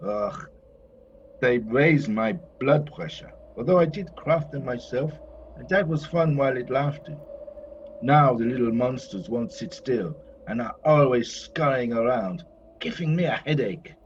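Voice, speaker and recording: A man's voice: mid-range voice, unhurried, lightly acted. A man playing a chatty, self-satisfied old master painter in an audio play, given to grumbling. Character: old Flemish master painter, jovial, fussy, easily irritated. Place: recorded at home during lockdown. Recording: muffled, noisy home recording.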